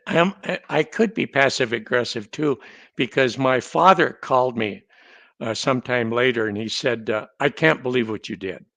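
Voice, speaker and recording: Measured older guy in his seventies, dry humor, lots of restarts, dead dry room sound. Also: mid-range voice, a bit bright, dry recording. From North Dakota. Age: 70s. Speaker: guest. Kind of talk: casual conversation, storytelling.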